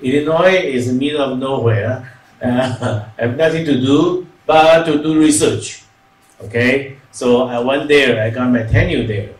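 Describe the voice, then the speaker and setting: A man's voice: mid-range voice, measured pace. An older man from Taiwan speaking on a university panel into a handheld mic, often repeating "okay" and restarting his phrases. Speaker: university president. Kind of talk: panel talk. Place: large hall. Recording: echoey hall, distant mic.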